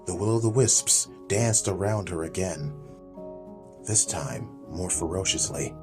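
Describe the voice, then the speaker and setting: A man's voice: low voice, unhurried, music in the background. A man reading a scripted, dramatic story as an audiobook narrator.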